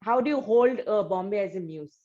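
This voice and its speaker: A woman's voice: medium pitch, lively intonation, a little fast, dark tone, highs cut off. A woman hosting an interview, asking winding questions with fillers. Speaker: interview host.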